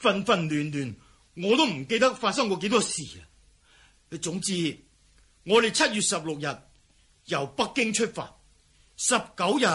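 A man's voice: high voice, bright, forceful tone, breathy edge, exaggerated voice. A man playing a cowardly, self-serving fleeing palace eunuch in a historical radio drama, stammering and sighing as he talks.

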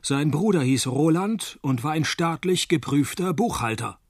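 An actor playing a cynical, wisecracking male private detective in a radio play, narrating and talking in a dry, laconic deadpan. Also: fairly high pitch, moderate pace, no room sound. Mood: dry, sardonic.

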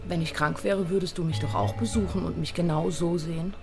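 A woman's voice: lowish voice, fairly even intonation, brisk, gravelly, over music. An actress playing a young woman who speaks coaxingly and needily in the dialogue of a radio play. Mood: coaxing, restless.

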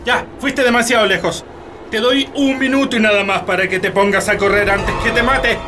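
A man's voice: sits high, steady tone, moderate pace, bright, forceful tone, faint music behind. An actor with a Chilean accent playing a stern, scornful hacienda patron and father in a radio drama, haughty and threatening. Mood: furious. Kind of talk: Spanish-language radio drama acting.

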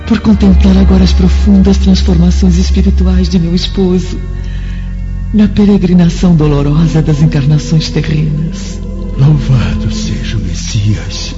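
A woman playing a gentle, pious Roman mother's spirit in a radio drama, speaking solemnly and tenderly. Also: deep voice. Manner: solemn, slow, tearful devotional delivery. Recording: dull lo-fi recording with music underneath.

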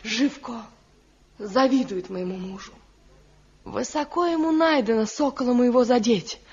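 An actress playing an anguished, indignant young village wife in a tragic, declamatory Soviet radio drama style. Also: female voice, on the high side, measured pace, a little mellow, natural voice. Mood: anguished, accusing. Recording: dull vintage radio recording.

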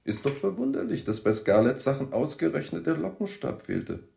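A man's voice: a little high, sing-song, normal speed, vocal fry, dark tone, exaggerated voice. An actor in a German radio drama playing a dogged male police detective, probing, ironic and mock-courteous.

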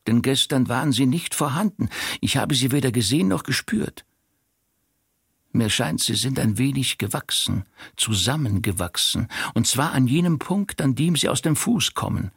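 An actor playing a solemn, eccentric man in a radio play monologue, contemplative and deadpan. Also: medium pitch, moderate pace, creaky, breathy edge, no echo, clean studio sound. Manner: matter-of-fact, contemplative.

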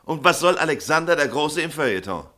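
An actor playing a brusque, sarcastic veteran newspaper editor in an old radio play. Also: male voice, fairly high pitch, expressive, brisk, bright, forceful tone. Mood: interrogating. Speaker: newspaper editor standing in for the director.

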